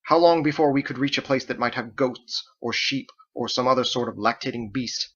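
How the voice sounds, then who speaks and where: A man's voice: mid-range voice, brisk, a bit bright, highs cut off, lightly acted. A man voicing a stern, commanding woman, a ship's first mate, with clipped, formal speech for an audiobook. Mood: insistent. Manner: clipped, formal, declaiming like in court.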